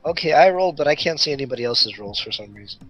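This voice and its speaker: Casual male gamer, mid-pitched, music behind. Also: expressive, very fast, fairly bright tone. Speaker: tabletop game player. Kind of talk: casual chat.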